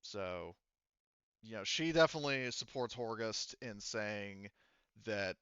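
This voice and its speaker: A man's voice: on the low side, animated, slow, a bit bright, smooth, no echo, lo-fi sound. A man running a tabletop game as game master, improvising narration and hesitantly searching for his words.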